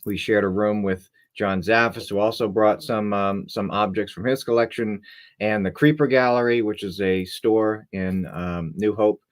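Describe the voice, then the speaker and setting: A young man co-hosting a casual live stream, talking in a laid-back, rambling way with many fillers. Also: lowish voice. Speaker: co-host.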